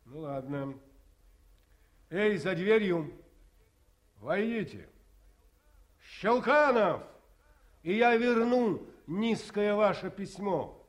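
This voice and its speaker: An actor playing a stern middle-aged army colonel who tells stories with sardonic bite, swinging between hushed and barking. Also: male voice, high-pitched, expressive, very slow, pauses often, heavily acted. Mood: commanding. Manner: sardonic storyteller, swings between hush and bark. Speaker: actor in a radio play. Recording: 1950s studio radio recording.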